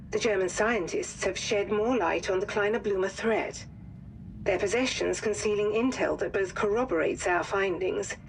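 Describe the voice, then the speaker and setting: A woman playing a composed wartime intelligence officer in a game, delivering formal, grave, measured mission briefings. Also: mid-range voice, a little hiss or hum, close to an everyday voice, low-quality recording. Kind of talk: scripted dramatic briefing narration.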